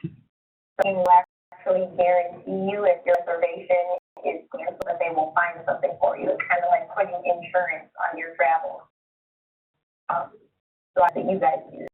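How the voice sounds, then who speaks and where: A woman presenting practical information informally on a conference call, explaining and advising in a conversational way. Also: on the low side. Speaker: conference call presenter, robotics team mentor. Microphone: phone conference call. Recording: muffled phone-line conference call, very distant.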